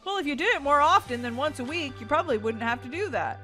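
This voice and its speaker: A woman voicing a cheerful, easygoing, goofy dad in an animated, playful family role-play over a video game. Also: sits high, fast, faint music behind. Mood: mildly scolding.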